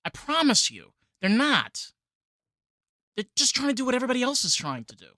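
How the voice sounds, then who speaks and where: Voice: mid-range voice, huge pitch swings, brisk, bright, forceful tone, no room sound, studio quality. A podcast host from New York speaking casually and emphatically, leaning on filler phrases. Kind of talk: casual conversation.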